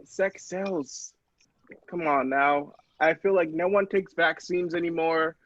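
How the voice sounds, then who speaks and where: A man's voice: a little high, steady tone, takes it slowly, a bit bright, dry recording, dull audio. A man playing a persuasive, rambling doctor-inventor hawking robots in an improvised comedy sketch. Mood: persuasive, defensive. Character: salesy male doctor inventor pitching his robots.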